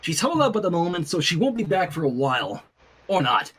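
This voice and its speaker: A man's voice: high voice, sing-song, brisk, a bit bright, husky, exaggerated voice. A man voicing an earnest, exasperated young woman babysitter who sounds flustered and huffy in a story reading.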